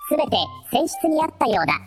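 A quick, slightly high AI computer assistant, voiced by a woman. The delivery is calm, concise and formal, like reports in an audio drama, over faint music. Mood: calm, efficient. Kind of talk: Japanese audio drama acting.

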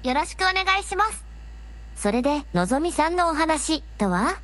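Woman as a high, courteous fantasy princess. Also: big pitch swings, normal speed, a bit bright. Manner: very formal, courteous speech. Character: polite, gracious young dragon-clan princess in human form. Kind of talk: Japanese audio drama acting.

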